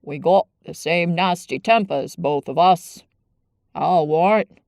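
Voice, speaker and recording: A woman voicing a sour, blunt old Yorkshire gardener with slow, grumbling dialect speech. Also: fairly low pitch, vocal fry, darkish tone, no room sound, studio quality. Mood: gruff.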